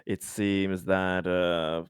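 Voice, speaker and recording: A man's voice: deep voice, level tone, very slow, smooth, no room sound, clean studio sound. A man playing a tabletop game, chatting casually about dice and rules.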